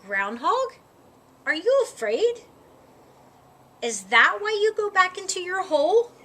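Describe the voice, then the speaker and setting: A woman voicing a big, eager, excitable moose in a children's storybook, booming and emphatic. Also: slow, heavily acted. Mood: coaxing.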